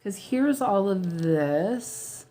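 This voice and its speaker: A woman's voice: medium pitch, lively intonation, extremely slow, warm tone. An American woman, a weaving teacher, chatting casually as she stitches, with lots of ums, restarts and easy laughter. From United States. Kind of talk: casual stitch-along chat.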